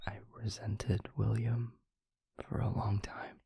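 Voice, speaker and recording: A man playing a gentle, devoted vampire boyfriend in an intimate audio roleplay, speaking earnestly and haltingly in a breathy, husky near-whisper. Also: on the low side, level tone, no room sound, studio quality, heavily acted. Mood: hesitant, confessional. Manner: slow, halting, tender reassurance.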